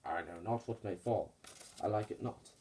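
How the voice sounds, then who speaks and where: A man's voice: lowish voice, moderate pace, warm tone, natural voice. A man playing a wary, mistrustful Roman conspirator in a puppet-show Shakespeare, speaking tersely and suspiciously in verse. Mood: misgiving.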